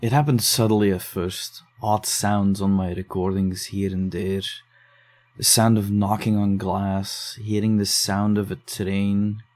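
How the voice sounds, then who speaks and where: A man's voice: fairly low pitch, flat delivery, a little slow, darkish tone, lightly acted. An actor playing a shaken young man telling of strange events in an audio drama, defensive and uneasy. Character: shaken young man investigating case files. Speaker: narrator and lead character.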